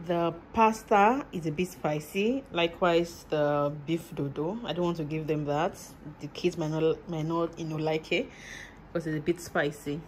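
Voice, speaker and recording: A woman from Nigeria with a slightly low voice chats at home, recorded on a hand-held phone with faint room noise behind her. She talks through what she cooked in loose, repetitive phrases and laughs easily. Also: fairly even intonation, normal speed, rough recording. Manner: chatty, laughs often, simple repetitive phrasing. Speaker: vlogger and mother. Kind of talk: casual home vlog chat. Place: home kitchen.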